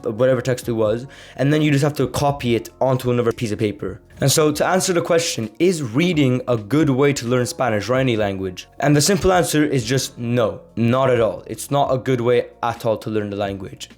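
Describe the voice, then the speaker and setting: A man's voice: medium pitch, brisk, few pauses, darkish tone, soft background music. Chatty fifteen-year-old boy vlogger. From Sweden. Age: teenager, fifteen. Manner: rambling and conversational, full of "like" and "do you know what I mean". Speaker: language-learning YouTuber. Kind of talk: casual talking-head vlog.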